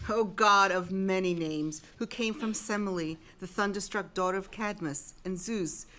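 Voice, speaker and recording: Casting: woman to play solemn tragic chorus member, medium-pitched, formal delivery, recorded with faint noise. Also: moderate pace, bright, forceful tone, natural voice. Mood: prayerful. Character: solemn female chorus member invoking a god. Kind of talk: dramatic reading of Greek tragedy.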